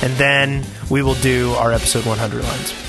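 A man's voice: medium pitch, flat delivery, moderate pace, music in the background. A man co-hosting a gaming podcast, chatting casually in a playful, mock-incredulous way. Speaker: podcast co-host.

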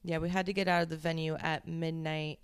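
A young woman co-hosting a podcast in a relaxed, casual way, adding short comments and corrections. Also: sits low, a little fast, smooth. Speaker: podcast co-host. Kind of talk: casual chat.

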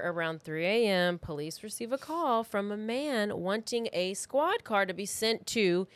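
A woman's voice: medium pitch, no echo. Casual woman storyteller, close dry sound. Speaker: podcast co-host telling the story. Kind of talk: casual true crime storytelling.

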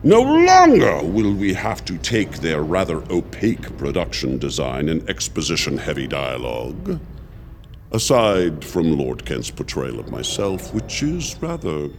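Deep gravelly male monster supervillain. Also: wildly swooping pitch, slight background noise, exaggerated voice. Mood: indignant, gloating. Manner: pompous, drawn-out dramatic declaiming. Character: grandiose male monster supervillain leader, slow and theatrical. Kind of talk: scripted audio drama villain monologue.

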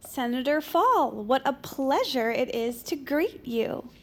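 A chirpy, scatterbrained aide in an audio drama. Her voice sits high, and she babbles guilelessly and nervously as if playing for time. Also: expressive, slow pace, heavily acted. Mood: gushing. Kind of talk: audio fiction drama acting.

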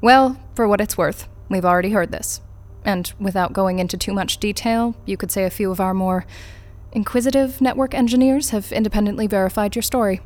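An actress playing a cool, unflappable corporate interrogator in an audio drama, formal, clipped and detached. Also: female voice, medium pitch, a little fast, natural voice. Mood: cool, matter-of-fact. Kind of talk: naturalistic audio drama acting.

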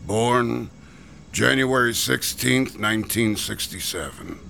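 An actor playing a chatty, folksy gravestone carver who sighs about the heat, in classic radio drama style. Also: male voice, mid-range voice, slow, gravelly, pushed and bright, a little hiss or hum, exaggerated voice. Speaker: stonecutter.

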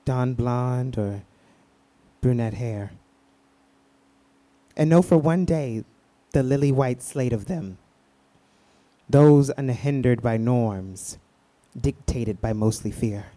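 An actor playing a timid, wistful radio show caller who speaks in a hesitant, halting way. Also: male voice, medium pitch, mellow, close to an everyday voice. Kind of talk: radio drama acting.

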